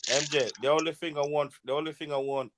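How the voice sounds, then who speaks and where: A man's voice: on the high side, fairly even intonation, quick pace. A man joking around on a casual online panel, laughing often and repeating himself. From Jamaica.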